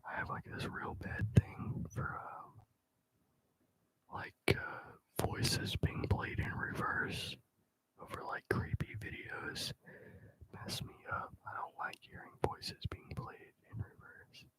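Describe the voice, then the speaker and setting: A man speaks in a breathy whisper close to the microphone. He rambles casually and slangily, as if chatting with the viewers of an ASMR live stream. His delivery stays hushed and unvoiced. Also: takes it slowly, low-quality recording.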